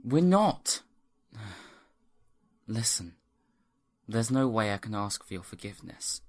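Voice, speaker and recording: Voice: mid-range voice, sing-song, slow. A performer playing an earnest, guilt-ridden young agent in a fan audio drama, anxious but resolute, sighing and pleading. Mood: remorseful.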